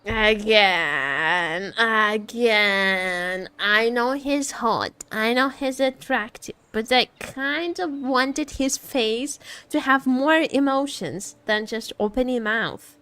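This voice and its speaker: Female streamer, high and very expressive, leisurely delivery, joking casual chat while reacting to a game. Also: slow pace, hardly any pauses, smooth, no room sound. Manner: teasing, joking, giggly. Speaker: VTuber streamer.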